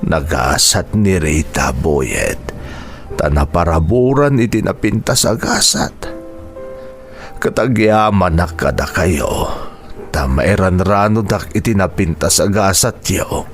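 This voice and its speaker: An actor with a Filipino accent playing an ailing, remorseful old uncle in a radio drama, halting and sighing as he speaks. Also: low voice, lively intonation, soft background music, exaggerated voice. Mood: weary, humble. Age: older. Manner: halting, sighing, self-reproachful.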